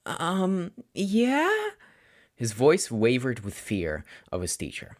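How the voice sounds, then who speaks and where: A man with a fairly low voice narrates fiction from a script. He reads as a storyteller would for an audiobook. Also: lively intonation, a little slow, darkish tone. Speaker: podfic narrator.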